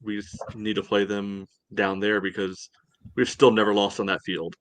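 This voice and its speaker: A man co-hosting a sports podcast, giving measured, casual commentary. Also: lowish voice, level tone. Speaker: podcast co-host.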